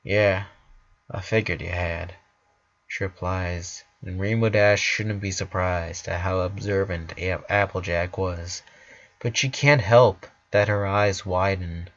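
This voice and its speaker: Slow, low-voiced male story narrator. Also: steady tone, muffled recording.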